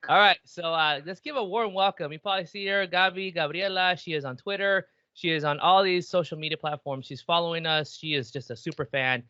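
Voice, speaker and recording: A man's voice: on the high side, fairly quick, hardly any pauses, bright, forceful tone, clean tone, no room sound, highs cut off. A man in his forties hosting a casual podcast, playfully teasing and mixing Spanish phrases into his English. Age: 40s. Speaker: podcast host. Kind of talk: casual bilingual podcast chat.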